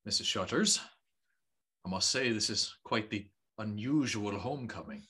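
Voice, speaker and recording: An actor playing a courteous gentleman master of a house in an audio drama, formal, kindly and authoritative. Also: fairly low pitch, lively intonation, moderate pace, breathy edge, full character voice. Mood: courteous concern. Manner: polite, formal, commanding.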